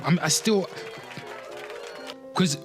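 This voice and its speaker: A man's voice: sits high, extremely slow, music in the background, a crowd in the background. A man giving informal, emphatic livestream commentary, repeating his phrases and speaking straight to his listeners, with occasional swearing. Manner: emphatic, repetitive, swearing, addressing listeners directly. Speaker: streamer, commentator giving opinions. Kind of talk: informal livestream commentary with some reading aloud.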